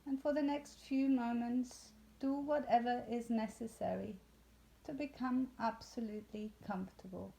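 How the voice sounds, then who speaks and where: A woman leading a guided yoga nidra meditation in a soothing, unhurried way with long pauses. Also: fairly high pitch, fairly even intonation, gravelly, mellow, low-quality recording.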